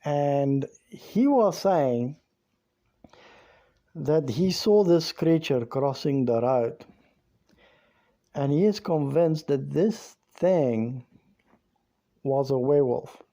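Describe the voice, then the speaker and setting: Slow, dark-voiced man. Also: on the high side, lively intonation. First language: Afrikaans. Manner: rambling, with many "you know"s and repeated words. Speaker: former police officer telling a true story. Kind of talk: casual spoken storytelling.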